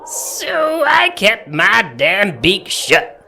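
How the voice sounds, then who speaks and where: A feathered alien cowpoke in a sci-fi comedy podcast. He has a gravelly, bright-edged voice and a folksy American Southern drawl. His pitch moves around in a lively, plainspoken, wary way. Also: extremely slow, a little hiss or hum, full character voice. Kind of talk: comedy audio drama acting.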